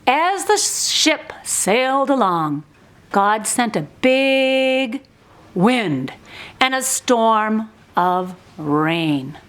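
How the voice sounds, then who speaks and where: High, very animated woman storyteller, slow-paced. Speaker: narrator of a children's church puppet show. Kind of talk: expressive scripted children's storytelling.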